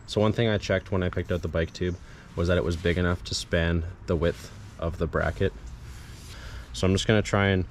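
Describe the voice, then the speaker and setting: A man's voice: low-pitched, steady tone, brisk. A man narrating a casual hands-on how-to as a DIY vlogger outdoors, speaking in hesitant, piecemeal phrases. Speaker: DIY vlogger demonstrating an installation. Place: outdoors in a residential yard and driveway. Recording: clear background noise, outdoor ambience.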